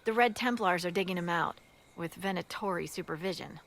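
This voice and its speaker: A woman's voice: fairly low pitch, fairly quick, vocal fry, a bit bright. A woman playing a blunt, down-to-earth army scout in a fantasy video game, informal and wry. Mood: matter-of-fact. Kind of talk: video game cutscene acting.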